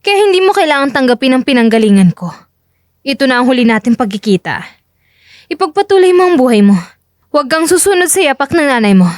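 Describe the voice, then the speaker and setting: Woman playing a cool, high-voiced agent. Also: very animated, fast, no echo, studio quality. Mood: stern, final. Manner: firm, curt, dismissive. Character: cool, firm female undercover agent. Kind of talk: dramatic audio story acting.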